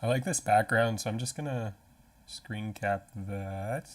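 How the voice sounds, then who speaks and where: A man's voice: fairly low pitch, a little slow, slight background noise. A man in his thirties giving casual livestream commentary as the host. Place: small room at a desk, indoors.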